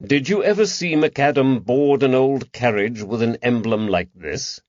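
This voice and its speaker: A man playing a patient, careful detective in an audiobook mystery, measured and gently firm as he questions. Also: medium pitch, dry recording, muffled recording, lightly acted. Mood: probing.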